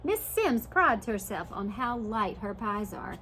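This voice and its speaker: A woman's voice: mid-range voice, big pitch swings, measured pace, fairly bright tone, slight background noise. A woman voicing a stubborn husband in a children's storybook, matter-of-fact and insistent.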